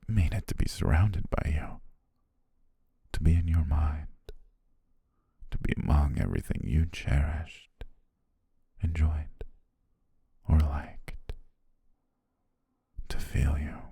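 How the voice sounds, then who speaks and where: A man playing an obsessive, possessive photographer in a hushed, intimate ASMR roleplay, speaking slowly in a husky, gravelly half-whisper. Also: extremely low pitch, animated, dry recording, studio quality, exaggerated voice. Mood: possessive, tender. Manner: slow, hushed, deliberate, with drawn-out pauses. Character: obsessive male yandere photographer, soft-spoken, possessive, menacing.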